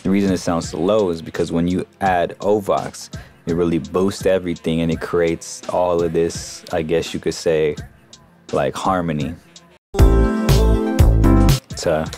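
A man giving a casual, informal software tutorial as a voice-over on a close mic. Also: low-pitched, little pitch movement, a little slow, pauses often, over music. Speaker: music production tutorial host.

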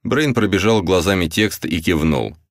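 A man's voice: low-pitched, lively intonation, normal speed, dry recording. A man narrating a novel as a scripted audiobook, close to the microphone.

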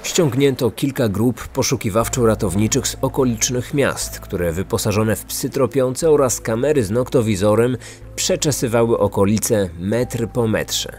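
A man's voice: lowish voice, darkish tone. A man hosting a true-crime podcast, reading a scripted narration.

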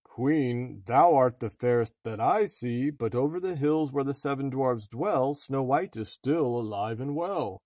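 A man voicing a solemn, truthful magic mirror in a measured rhyming chant. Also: medium pitch, lively intonation, darkish tone, no room sound, studio quality.

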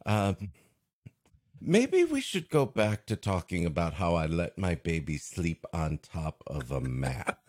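A man with a low voice and very lively pitch swings, riffing comically as a podcast co-host. He takes his time, rambling in mock earnest through improvised banter. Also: takes it slowly, no room sound, clean studio sound.

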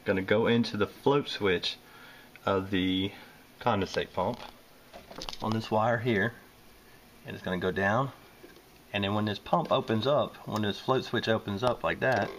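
Southern man, HVAC technician, low voice, plain step-by-step explaining, handheld camera with faint room noise. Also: a little slow, pauses often, fairly bright tone. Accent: Southern American. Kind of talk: hands-on repair walkthrough. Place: indoors at a furnace in a basement or utility space. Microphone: handheld camera filming close-up work.

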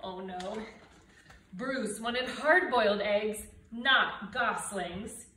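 A middle-aged woman reading a children's storybook aloud in an animated way, with dramatic emphasis. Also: mid-range voice, very slow. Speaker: storybook reader. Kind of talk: expressive children's read-aloud. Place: indoors, living room by brick fireplace and window. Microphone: camera a few feet away. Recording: roomy, distant mic, faint noise.

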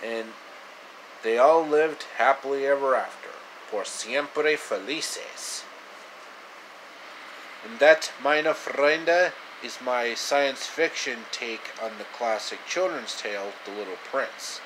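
A man in his thirties narrating a homemade story with halting delivery, recorded at arm's length in a small room. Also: mid-range voice, fairly bright tone, a little hiss or hum, low-quality recording. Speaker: storyteller, video creator. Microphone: phone or webcam at arm's length.

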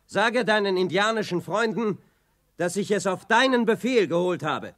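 High-voiced young adventure hero. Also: male voice, normal speed, dry recording. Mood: bold. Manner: urgent, animated. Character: bold, quick-witted, mischievous young male hero. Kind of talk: 1980s German children's audio drama acting.

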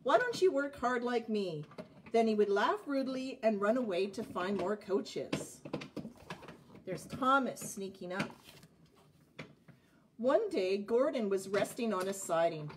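A woman in her forties reading a children's picture book aloud, with expressive phrasing and a slightly husky voice. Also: a little high, slight background noise. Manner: expressive, clearly phrased narration with asides about the pictures. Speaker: storybook reader. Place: indoors, child's playroom.

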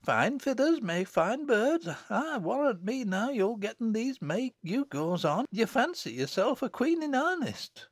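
A man's voice: very high-pitched, very animated, a little slow, few pauses, dry recording, pitched above the performer's own voice, full character voice. A man voicing a harsh, scolding village mother in an audiobook, with sour, testy rustic dialect speech. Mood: sour, teasing.

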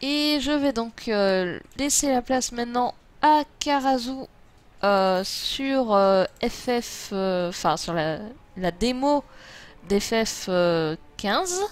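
A woman's voice: on the high side, animated, slow. A French woman chatting casually and playfully as she streams an online game.

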